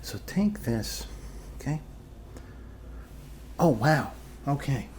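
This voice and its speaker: Slow-talking male ASMR doctor, halting and reassuring. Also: mid-range voice, big pitch swings, a little mellow, a little hiss or hum. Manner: halting, reassuring, trailing off with fillers and pauses. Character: calm, quirky male doctor treating a zombie bite. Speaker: ASMR performer playing a doctor in a role-play. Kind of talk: ASMR role-play, improvised one-sided consultation.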